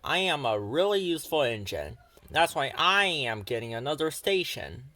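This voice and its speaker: A man voicing a boastful, excitable young male steam engine in a children's storybook. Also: mid-range voice, moderate pace, no room sound. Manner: boastful, exclamatory.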